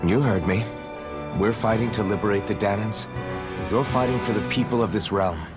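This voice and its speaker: An actor playing an earnest, idealistic young freedom fighter in a video game, with a sincere, direct way of talking. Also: male voice, fairly low pitch, moderate pace, lightly acted. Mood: persuasive. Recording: game dialogue over music.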